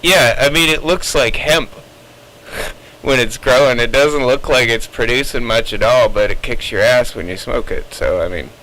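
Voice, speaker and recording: A man's voice: mid-range voice, measured pace, pushed and bright, poor audio. A man chatting casually over CB radio, explaining his opinions at length in a rambling way. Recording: CB radio chatter with faint noise.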